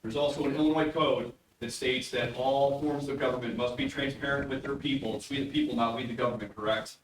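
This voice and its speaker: Loud guy in the audience, far from the mic, echoey hall, lots of room noise. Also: mid-range voice, steady tone, fairly quick. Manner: loud, pointed. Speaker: audience member and local candidate. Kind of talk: public question. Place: public meeting hall. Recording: distant, echoey, noisy room.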